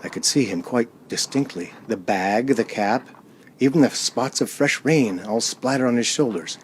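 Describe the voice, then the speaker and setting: A man's voice: mid-range voice, normal speed, a little hiss or hum, lightly acted. An actor playing an uneasy man driving alone, narrating a radio play in a hesitant, dramatic way. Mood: uneasy recollection.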